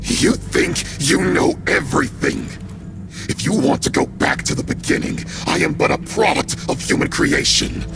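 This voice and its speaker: An actor voicing an arrogant AI parasite villain in a video game, gravelly and breathy, menacing and theatrical. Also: male voice, a little high, lively intonation, moderate pace. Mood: defiant, menacing. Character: arrogant male AI parasite villain in a powerful body. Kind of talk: dramatic video game cutscene acting. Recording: loud music under the voice.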